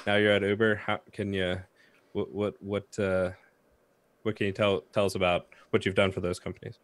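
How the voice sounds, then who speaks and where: A male podcast co-host with a fairly low voice asks his questions casually. He hedges and repeats words as he goes. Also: flat delivery, moderate pace. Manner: loose, hedging phrasing with fillers.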